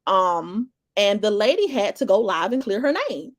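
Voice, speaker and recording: A woman's voice: mid-range voice, expressive, moderate pace, no room sound, studio quality. A woman giving casual live commentary, greeting viewers and rambling through restarts and fillers. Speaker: livestream commentator.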